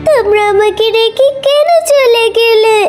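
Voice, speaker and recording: A woman voicing a poor, sad orphan girl in a children's cartoon, with a thin childlike voice and plaintive, drawn-out speech. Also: extremely high pitch. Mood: crying, pleading. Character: poor orphan girl, meek, sad, overworked by her aunt. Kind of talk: children's cartoon fairy-tale dubbing. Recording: loud children's music behind.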